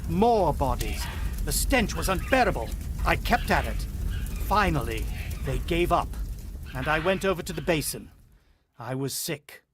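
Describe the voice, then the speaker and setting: A man's voice: a little high, very animated, unhurried, noisy background. A man acting a desperate, haunted husband in a radio play, telling of a siege in grave, clipped, intense style. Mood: tense. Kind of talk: dramatic radio play narration and dialogue.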